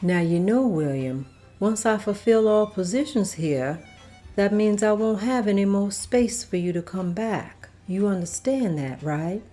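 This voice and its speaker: A gracious, kindly mistress of a mansion, read by a woman, her voice mellow and warm, rising and falling freely as she speaks in an unhurried way. Faint music plays behind her. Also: mid-range voice, lively intonation, natural voice. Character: Miss Van Loo, a kindly older estate mistress. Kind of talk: amateur table read.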